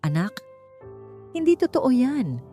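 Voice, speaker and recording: Woman voicing a gentle, troubled Filipino father. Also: high-pitched, huge pitch swings, takes it slowly, faint music behind, pitched above the performer's own voice, exaggerated voice. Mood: worried, soothing. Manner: soft-spoken, earnest. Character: earnest, protective adult father, gentle and troubled.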